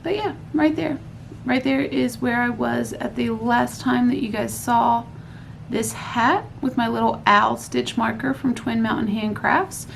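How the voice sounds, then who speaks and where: A woman, hosting a casual craft podcast from home at night, a bit hoarse after losing her voice, chatty and rambling. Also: fairly high pitch, steady tone, a little slow, slight background noise. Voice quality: hoarse, recovering from lost voice. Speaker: podcast host. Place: home at night, laundry running.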